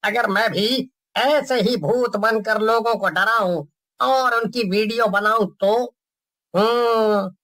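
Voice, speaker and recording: A man's voice: high-pitched, slow, sharp tone, no echo, exaggerated voice. A man voicing a lazy, boastful young village man in a cartoon story, gleeful as he dreams of getting famous. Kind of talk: cartoon voice acting.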